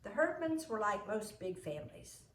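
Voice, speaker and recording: A woman's voice: mid-range voice, normal speed. Woman reading a storybook in an echoey room. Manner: expressive, acts out the dialogue. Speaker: mother reading a children's book aloud. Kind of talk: storybook read-aloud narration. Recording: roomy echo, noisy home recording.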